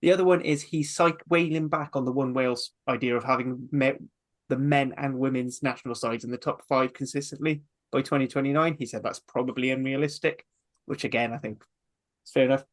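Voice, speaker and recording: Man, middle pitch, methodical, lists points, podcast co-host. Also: steady tone, fairly quick. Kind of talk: casual rugby chat.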